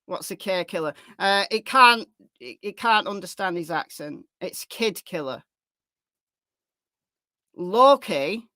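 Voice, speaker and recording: A woman presents livestream commentary in a medium-pitched voice. She talks emphatically, doubling back on phrases to drive them home and answering viewers as she goes. The sound is dry, with no room around her. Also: a bit bright, no echo. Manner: emphatic, repeats phrases for stress. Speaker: livestream host. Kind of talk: true crime livestream commentary with chat replies.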